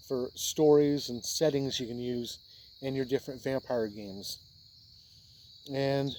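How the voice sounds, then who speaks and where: An American man from Indiana hosting a video, talking casually and explaining ideas in halting, unhurried phrases with pauses. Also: medium pitch, darkish tone. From Indiana, United States. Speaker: host of a tabletop role-playing video channel. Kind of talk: casual explainer talk to camera.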